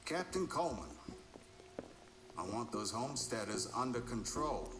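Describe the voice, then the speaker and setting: An actor playing a domineering private military chief in a video game, giving clipped, commanding orders. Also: male voice, mid-range voice, lively intonation, unhurried, background noise, natural voice. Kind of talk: video game cutscene acting. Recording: loud music behind the voice.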